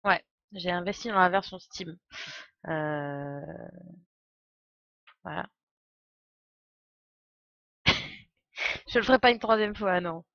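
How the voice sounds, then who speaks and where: A woman's voice: on the low side, slow pace, long pauses, sharp tone, no echo, dull audio. A woman doing casual, playful, rambling live commentary on a game. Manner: playful, giggly, exclamatory, rambling. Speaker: game streamer.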